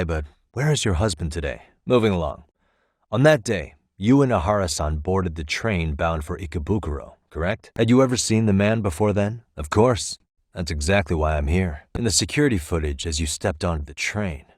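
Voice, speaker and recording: Sharp male detective, low pitch, American accent, wry, persuasive, casually confident, close dry sound, game dub. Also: lively intonation, normal speed, dry recording. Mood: polite, persuasive. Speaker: voice actor in a video game. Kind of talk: English video game dub acting.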